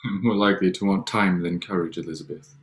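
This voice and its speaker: A reserved Regency gentleman suitor acted by a man, with a deep, low voice. He is formal and proud, shyly earnest underneath, and a little halting as he reads the period romance. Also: fairly even intonation. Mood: sincere, restrained. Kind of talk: staged reading of a period drama.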